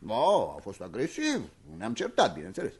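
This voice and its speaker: Academician vârstnic, neliniștit, cu intonație amplă. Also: male voice, mid-range voice, huge pitch swings, husky, full character voice. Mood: agitated, uneasy. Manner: theatrical, worried, confiding. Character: anxious, self-justifying older male academician confiding in friend. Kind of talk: radio play dialogue.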